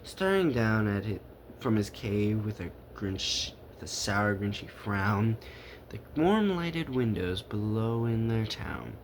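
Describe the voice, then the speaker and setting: A man tells a children's story with big swoops in pitch and a gravelly edge. He reads theatrically, stressing and stretching words for effect. The pace is unhurried, with faint noise behind him. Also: animated. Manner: dramatic, drawn-out emphasis and repetition. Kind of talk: theatrical read-aloud of a rhyming children's story.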